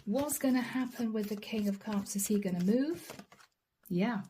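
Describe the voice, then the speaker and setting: A woman doing a channeled tarot reading straight to the viewer, earnest and apologetic, her voice going hoarse. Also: on the high side, lively intonation, moderate pace, dark tone, a little hiss or hum. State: losing her voice. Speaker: tarot reader.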